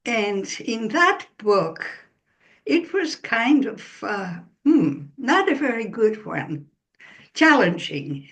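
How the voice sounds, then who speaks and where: A woman author speaking in a slow, measured way in an interview, pausing as she explains. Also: fairly high pitch, very animated, fairly bright tone. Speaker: author guest. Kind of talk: relaxed interview answers.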